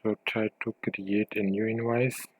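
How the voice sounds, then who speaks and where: Guy with a lowish voice doing a screen share walkthrough, kinda hesitant, dry close sound. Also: flat delivery, a little slow, no room sound, narrow, tinny audio. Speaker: software tutorial presenter. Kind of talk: informal screen-share tutorial narration.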